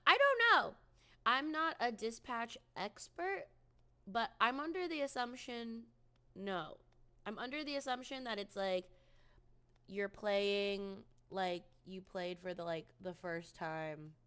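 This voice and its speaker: A woman's voice: medium pitch, slow pace, bright, forceful tone, clean tone. Chatty woman streamer getting over a cold. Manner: chatty, rambling, many ums and likes. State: recovering from a cold. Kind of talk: casual live-stream chat with viewers.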